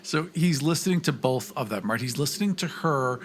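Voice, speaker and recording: A man's voice: medium pitch, animated, moderate pace. A man playing a tabletop game, casually describing what his character does.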